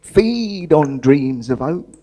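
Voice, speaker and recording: An eccentric male convict in a stage comedy, high-voiced and wildly swooping. He stammers, dithers and exclaims in a scatty, excitable way, with faint noise behind him. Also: slow. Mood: dithering, flustered. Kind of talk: live comedy stage drama.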